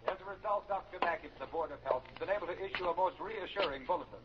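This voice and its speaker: A man's voice: high voice, lightly acted. A man acting a formal, reassuring news announcer reading a bulletin in a 1950s radio drama. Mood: official, reassuring. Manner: official, measured wording. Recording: dull, noisy old radio broadcast.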